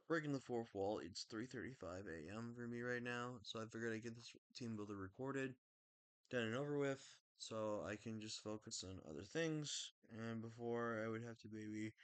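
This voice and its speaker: A young man talking casually about his game team, rambling and correcting himself, with a slightly raspy throat. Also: mid-range voice, dry recording. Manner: rambling, self-correcting, excitable. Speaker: draft league player. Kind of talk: casual video commentary.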